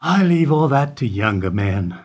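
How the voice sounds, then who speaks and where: An actor playing a weary bank security guard who gives dry, evasive answers in a radio play. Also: male voice, mid-range voice, sing-song, unhurried, husky. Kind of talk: amateur radio play acting.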